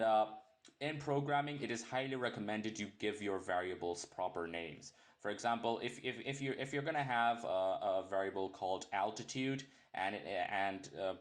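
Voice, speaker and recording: A young man teaching a programming lesson in a casual, rambling way, often correcting himself and joking as he goes. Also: lowish voice. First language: English. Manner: rambling, self-correcting, jokey asides. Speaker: programming tutor. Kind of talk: casual screen-recorded coding tutorial.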